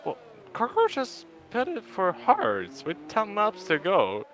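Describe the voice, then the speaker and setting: A man's voice: high voice, huge pitch swings, slow, a bit bright, slight background noise. A man whose first language is Bosnian, chatting casually as a co-commentator on a sim racing livestream and laughing easily. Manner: chatty, laughs easily, jumps in over others.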